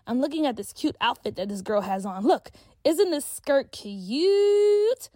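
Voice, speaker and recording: A woman's voice: high-pitched, animated, mellow, dry recording, clean studio sound, pitched above the performer's own voice. A woman voicing a bubbly, self-absorbed schoolgirl who talks in a chatty, breezy way. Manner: chatty, breezy, quick to brush off.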